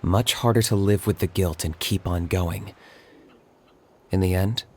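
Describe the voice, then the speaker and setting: Low, stoic young warrior. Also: male voice, measured pace, noisy background. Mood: cool, matter-of-fact. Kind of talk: video game voice acting.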